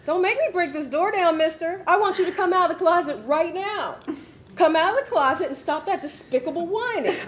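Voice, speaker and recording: A woman playing a bossy, sharp-tongued, mocking mission control boss in a comic screenplay table read. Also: very high-pitched, brisk. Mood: scolding. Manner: brash, scolding, theatrical. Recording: table read with background noise.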